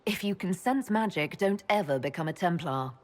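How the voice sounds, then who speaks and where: An actress playing a composed, inquisitive mage who leads an order in a video game, speaking directly. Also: female voice, on the low side, lively intonation, moderate pace, darkish tone, natural voice. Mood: composed, curious. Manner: direct, questioning. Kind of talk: dramatic video game dialogue.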